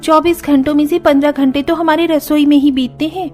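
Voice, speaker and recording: A woman voicing busy, dutiful young daughters-in-law in a cartoon story, talking briskly and practically. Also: high-pitched, fairly even intonation, darkish tone, soft background music, pitched above the performer's own voice, close to an everyday voice. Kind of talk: Hindi cartoon story dialogue.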